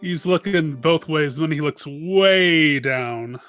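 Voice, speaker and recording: A man's voice: fairly high pitch, slow, a bit bright, clean tone. A man casually describing his character's actions as a player in a tabletop game. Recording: music playing behind.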